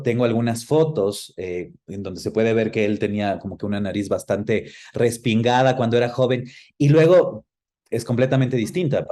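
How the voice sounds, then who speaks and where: Mellow-voiced Ecuadorian man. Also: fairly low pitch. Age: around 40. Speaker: interview guest. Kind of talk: conversational interview answers.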